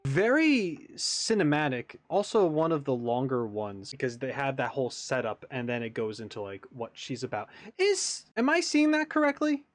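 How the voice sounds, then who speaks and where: A man's voice: mid-range voice, sing-song, normal speed, few pauses. A man hosting a reaction video, giving casual, musing commentary in an informal way.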